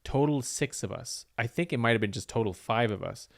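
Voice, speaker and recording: Smooth-voiced man telling a casual story. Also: mid-range voice, brisk. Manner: rambling storyteller, acts out quoted lines. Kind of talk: casual chat.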